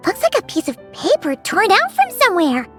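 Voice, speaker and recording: A woman voicing a small, curious, cheerful fairy-like companion in a video game, with a squeaky, childlike, bubbly delivery. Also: huge pitch swings, fairly quick, fairly bright tone, soft background music, heavily acted. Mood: curious, surprised. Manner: bubbly, exclamatory. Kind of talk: video game character acting.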